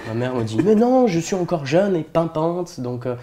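A man's voice: fairly high pitch, sing-song, normal speed, mellow, slight background noise. A French man in his twenties chatting casually as an interview guest, joking and self-deprecating in his manner. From France. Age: 20s.

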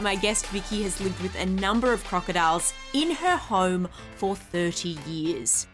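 An Australian woman hosts a podcast in a medium-pitched voice. She speaks in a chatty, conversational presenting style, with faint music around her voice. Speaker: podcast host. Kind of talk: chatty podcast introduction and interviewing.

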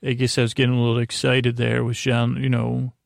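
A man delivers a soothing, wandering bedtime podcast monologue. His voice is mid-pitched with plenty of vocal fry, recorded very dry. He hesitates, repeats himself and drifts from one half-finished phrase to the next. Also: monotone, no echo. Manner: meandering, halting, trails off and repeats himself. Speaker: podcast host. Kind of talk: rambling bedtime monologue to help listeners sleep.

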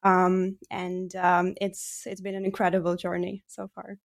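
A woman's voice: lowish voice, monotone, unhurried, creaky. Ukrainian-accented woman answering interview questions. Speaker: guest, startup co-founder.

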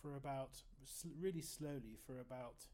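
Man, late fifties, fairly high voice, chatty storyteller for a casual interview. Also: moderate pace, warm tone. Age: 50s. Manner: chatty, rambling storyteller with self-corrections. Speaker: guest oboist.

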